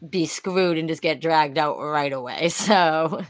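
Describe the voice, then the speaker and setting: A woman with a raspy voice, chatting casually as a co-host and peppering her talk with "like". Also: low voice, measured pace. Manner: chatty, lots of "like" fillers and laughs. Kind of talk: casual chat.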